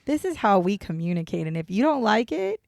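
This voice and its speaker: A woman in her forties talks into a close mic in a dry, dead room, her pitch sitting in an ordinary middle range. She chats loosely with her co-host, laughs a lot, drifts off on asides and drops casual swears. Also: sing-song, brisk, vocal fry, darkish tone, no room sound. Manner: chatty, laughs often, rambling asides, swears. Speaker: podcast co-host. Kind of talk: casual banter podcast.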